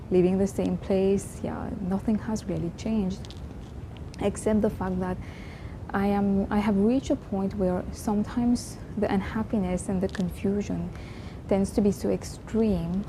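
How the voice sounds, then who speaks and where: A woman in her twenties from Mauritius, whose first language is Creole, talking earnestly and reflectively in a personal vlog, pausing and restarting often. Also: medium pitch, background noise. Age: 20s. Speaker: vlogger. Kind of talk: casual personal vlog talk.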